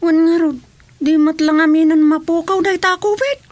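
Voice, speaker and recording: A woman's voice: very high voice, fairly even intonation, moderate pace, a little mellow. A woman playing a gossipy, indignant village councillor in a radio comedy drama. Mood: chatty.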